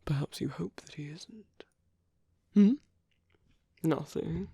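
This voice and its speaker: A suave masquerade villain, acted out by a performer for a romantic ASMR roleplay, speaks in a husky, breathy near-whisper. The delivery is slow and teasing, with lingering pauses and insinuation. It is recorded very close and dry. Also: mid-range voice, warm tone, dry recording, heavily acted. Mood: teasing, curious. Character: suave flirtatious villain at a royal masquerade ball. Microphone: close dry microphone.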